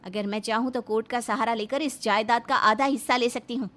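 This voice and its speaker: Here is a woman acting as an indignant woman of an Indian household. Her voice is high and assertive, delivering dramatic storybook dialogue that stands its ground. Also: fairly even intonation, brisk, fairly bright tone, lightly acted. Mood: angry, defiant. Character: defiant, indignant women of an Indian family.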